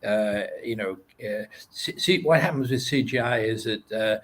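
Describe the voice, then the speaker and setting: A man's voice: lowish voice, moderate pace, a bit bright. A man from Wales with a Welsh accent, an animation producer and interview guest who talks hesitantly, with lots of uh and um.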